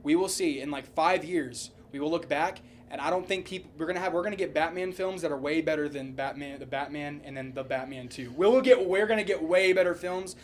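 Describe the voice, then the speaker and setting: A man's voice: fairly high pitch, a little fast, hardly any pauses. A man co-hosting a podcast in casual, heated debate, opinionated and repetitive, with casual swearing. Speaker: podcast co-host.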